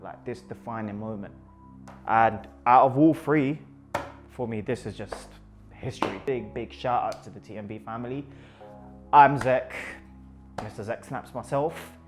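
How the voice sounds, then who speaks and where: Enthusiastic London photographer, repeats words. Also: male voice, on the low side. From Iraq. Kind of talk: casual talk about his photos.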